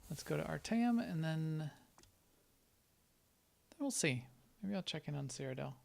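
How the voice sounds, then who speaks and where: A man talks casually through a live game stream, answering questions from viewers as he plays. His voice is strongly gravelly and sits a little high. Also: animated, normal speed, darkish tone. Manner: chatty, answers viewers while playing.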